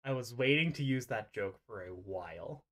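A game master narrating an improvised tabletop roleplay over an online voice call, often pausing mid-sentence. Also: mid-range voice, expressive, fairly bright tone.

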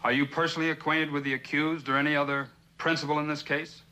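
Quick, higher-voiced defense lawyer. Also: male voice, little pitch movement, sharp tone, poor audio. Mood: questioning. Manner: formal, direct questioning. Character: crisp, businesslike male defense lawyer questioning a witness. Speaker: actor as defense counsel. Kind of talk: 1960s television courtroom drama acting.

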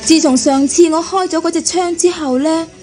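An actress playing an earnest young private nurse, curious but firm, in a Hong Kong Cantonese radio drama. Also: female voice, very high-pitched, steady tone, normal speed, a little mellow, faint music behind.

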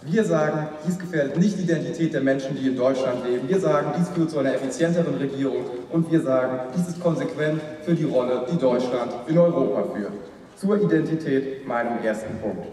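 A young man from Rhineland-Palatinate giving a formal, measured debate speech at a lectern, repeating phrases as he builds his case. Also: medium pitch, warm tone, slight background noise. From Rhineland-Palatinate, Germany. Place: large hall, at a lectern. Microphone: far camera. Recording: echoey hall recorded from far off.

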